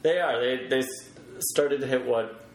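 A man's voice: mid-range voice, a little slow. An easygoing man talking casually as a podcast guest, often with a chuckle. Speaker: podcast guest, web developer. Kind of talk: casual chat. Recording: roomy echo, faint background noise.

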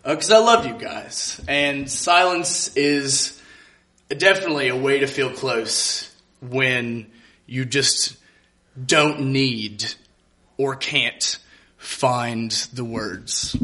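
A man's voice: mid-range voice, takes it slowly, pushed and bright. A man telling a personal story live to a room, speaking in an earnest, reflective way. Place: live event in a room with an audience.

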